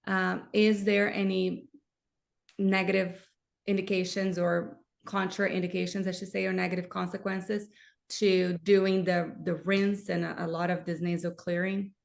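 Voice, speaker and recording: A woman's voice: lowish voice, level tone, measured pace, vocal fry. A woman hosting a live interview as a myofunctional therapist, asking eager, enthusiastic questions.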